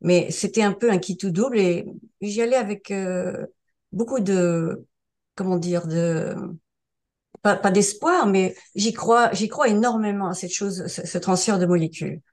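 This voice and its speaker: A woman's voice: mid-range voice, unhurried, a little mellow. A woman around seventy, from France, telling her story as an interview guest in a casual, hesitant way, with many fillers and restarts. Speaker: interview guest sharing a testimonial. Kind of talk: casual spoken testimonial.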